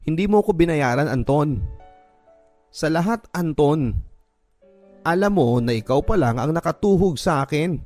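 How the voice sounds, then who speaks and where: A man's voice: on the high side, lively intonation, measured pace, long pauses, darkish tone, voice pushed up. A man with a Filipino accent voicing a hurt young single mother in a radio drama, pleading and emotional. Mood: hurt, indignant. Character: wounded young single mother, tearful and defiant. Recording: music bed behind the voice.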